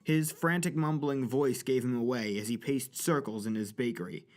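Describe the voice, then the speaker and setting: A man's voice: medium pitch, normal speed, darkish tone. A man narrating a scripted story for an audio drama.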